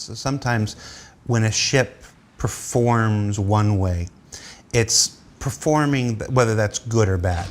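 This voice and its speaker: A man working as an interviewer, asking unscripted questions in a deliberate way and rephrasing as he goes. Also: lowish voice, slight background noise.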